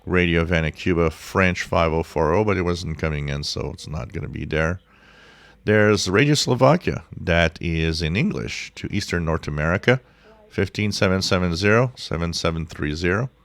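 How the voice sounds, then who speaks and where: A man with a very low, gravelly voice gives an informal tutorial. He takes his time and thinks aloud as he explains, like a hobbyist showing how he does things. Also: normal speed, dry recording. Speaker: shortwave radio hobbyist presenting a tutorial. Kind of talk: casual explanatory screen-share tutorial.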